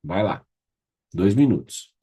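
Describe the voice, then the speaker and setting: A man's voice: sits low, big pitch swings, slow pace, dark tone, breathy edge. A Brazilian man facilitating an online class, giving informal, directive instructions and checking in with participants.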